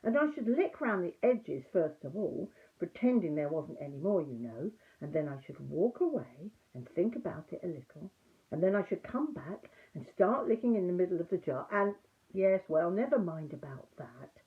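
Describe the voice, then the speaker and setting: A woman voicing an earnest, slow-witted male toy bear in a storybook, musing in a ponderous way. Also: lowish voice, very animated. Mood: eager. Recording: muffled, narrow phone-like audio.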